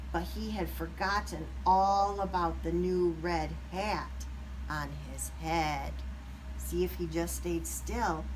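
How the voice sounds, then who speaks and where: A woman reading a picture book aloud to young children, expressive, with explanatory asides. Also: fairly low pitch, slight background noise, low-quality recording.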